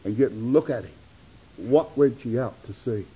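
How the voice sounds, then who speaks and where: Preacher guy with a husky highish voice, building up then dropping low, old lo-fi sermon tape. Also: moderate pace. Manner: dramatic rises and drops in pitch and loudness; addresses congregation as brethren and sisters. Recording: dull lo-fi sermon recording.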